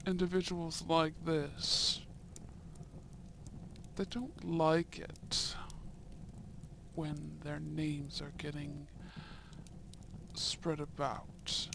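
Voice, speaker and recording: A man playing a young, courteous and shrewd Edwardian viscount in a romantic audio roleplay, with formal, deliberate phrasing and soft murmurs. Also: a little high, monotone, creaky, background noise, heavily acted. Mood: grave, confiding.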